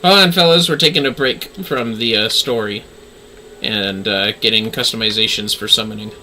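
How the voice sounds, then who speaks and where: A man's voice: fairly low pitch, normal speed, sharp tone, a little hiss or hum, rough recording. A man doing casual livestream game commentary, talking to himself as he plays and reading game text aloud. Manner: talks to self while playing. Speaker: livestream gamer, VTuber.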